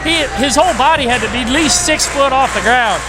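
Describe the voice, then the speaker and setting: A man giving chatty, excitable color commentary on indie wrestling matches. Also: extremely high pitch, fairly quick, low-quality recording. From Kentucky. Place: indie wrestling event venue. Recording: very loud crowd and music behind.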